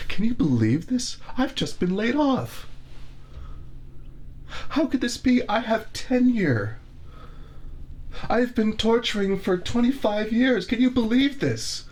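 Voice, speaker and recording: A man's voice: high voice, big pitch swings, slow pace, long pauses, a little mellow, full character voice. A man voicing a pompous middle-aged male torturer with grand, formal villain speech in an ASMR roleplay, recorded on a binaural microphone. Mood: indignant.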